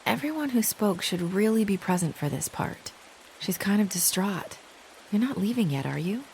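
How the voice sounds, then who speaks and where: Soft hesitant young woman, mid voice, gentle, game cutscene, little rain noise. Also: mid-range voice, lively intonation, moderate pace, vocal fry. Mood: hesitant, apologetic. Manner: gentle, tentative. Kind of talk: dramatic video game acting. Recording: faint rain noise behind the voice.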